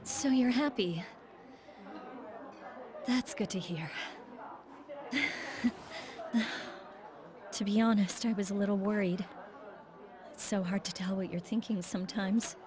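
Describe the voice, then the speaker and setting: An actress playing a stern, nagging girlfriend, pointed and matter-of-fact, in an animated game cutscene. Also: mid-range voice, takes it slowly, long pauses, crowd noise, lightly acted. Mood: probing. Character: stern, nagging long-time girlfriend, composed and controlling. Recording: loud music under the dialogue.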